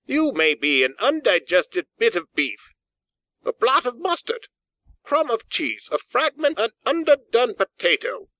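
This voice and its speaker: A man voicing a cold, sharp-tongued old miser shaken by dread, excitable and pleading, in a dramatised storybook reading. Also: very high-pitched, a little slow, dry recording, voice pushed up, heavily acted, low-quality recording. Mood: defiant, flustered.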